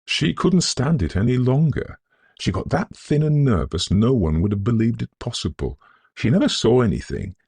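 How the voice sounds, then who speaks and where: Man playing a gravelly, anxious Victorian manservant. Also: low voice, a little mellow, no room sound, pitched above the performer's own voice, full character voice. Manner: earnest, respectful, measured period phrasing. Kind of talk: dramatised audiobook ghost story.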